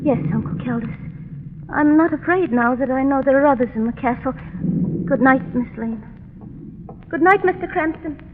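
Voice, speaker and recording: An actress playing a fragile, haunted young heiress, formal and dramatic in the manner of 1930s radio melodrama. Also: female voice, high voice, moderate pace, long pauses, slight background noise, close to an everyday voice. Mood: gracious. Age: young adult. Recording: muffled, echoing 1930s radio recording.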